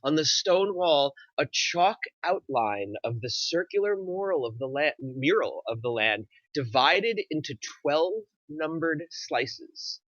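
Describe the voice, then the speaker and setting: A man's voice: a little high, big pitch swings, slow pace, hardly any pauses, fairly bright tone, no room sound. A man reading scripted stage directions as the narrator of a screenplay table read. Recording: slightly dull, dry recording.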